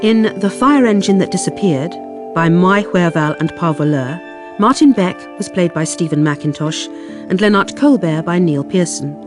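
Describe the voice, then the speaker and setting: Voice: medium pitch, expressive, moderate pace. Radio drama credits announcer over music. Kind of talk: scripted broadcast announcement.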